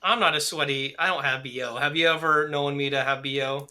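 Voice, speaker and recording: A man's voice: fairly high pitch, fairly even intonation, brisk, sharp tone. A congested man co-hosting a podcast in casual banter, rambling and joking with lots of restarts from a small hot room at home. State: stuffed up, congested. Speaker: podcast co-host.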